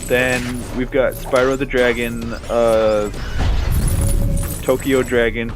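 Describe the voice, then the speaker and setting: A man chats casually as he co-commentates a video game, with an average pitch for a male voice. He is sarcastic and crude, swearing freely, with clear game music playing behind him. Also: flat delivery, slow. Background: loud game music and effects.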